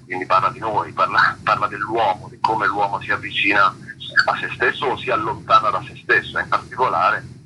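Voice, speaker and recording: Low, sharp-voiced man in thoughtful interview. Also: a little hiss or hum, rough recording. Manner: articulate, reflective, searches for words with pauses and repetitions. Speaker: theatre actor and director being interviewed. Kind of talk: interview talk about a theatre production.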